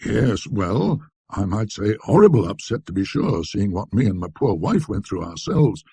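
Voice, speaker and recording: A man voicing a rattled, talkative antique dealer who blusters and justifies himself in colloquial rambles, in a husky voice. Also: lowish voice, big pitch swings, moderate pace, warm tone, no room sound, exaggerated voice. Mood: indignant, defensive.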